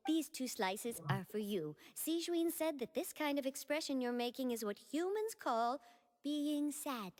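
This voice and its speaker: High, lilting, innocent creature girl. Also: lively intonation, unhurried, darkish tone. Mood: gentle, kindly. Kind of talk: anime-style video game voice acting. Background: background music.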